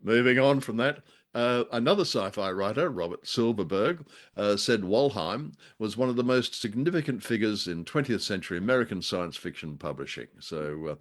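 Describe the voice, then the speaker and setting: A low-pitched man talks as co-host of a radio drama show. His delivery is casual and bookish, with a halting, wry turn to his remarks. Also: expressive.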